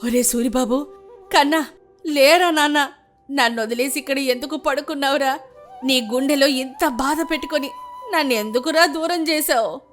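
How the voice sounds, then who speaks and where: Old village granny for a moral story, wailing and blaming herself, high voice, music behind. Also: female voice, moderate pace, fairly bright tone. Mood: anguished, wailing. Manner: wailing, lamenting delivery. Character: grief-stricken elderly village grandmother, loving and self-blaming. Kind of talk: melodramatic moral-story voice acting.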